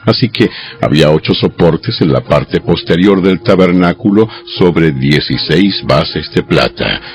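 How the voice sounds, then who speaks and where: Very deep gravelly man narrating scripture. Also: lively intonation, a little slow. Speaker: Bible narrator. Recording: dull lo-fi audio over music. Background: music.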